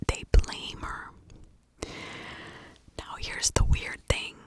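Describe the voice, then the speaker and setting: An American woman whispering an ASMR true crime story in a hushed, informal, conversational way. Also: extremely slow.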